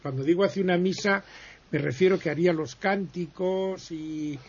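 A man recounts history in an unhurried radio chat, with a gravelly, husky voice pitched a little high for a man. He wanders between points, pausing and correcting himself. The sound is muffled and lo-fi. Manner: digressive, explanatory, many pauses and self-corrections. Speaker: history guest speaker. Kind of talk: conversational radio interview.

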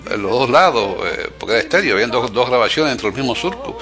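An older man in casual conversation, reminiscing in a halting way and often repeating his words as he goes. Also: medium pitch, animated. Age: 60s or older.

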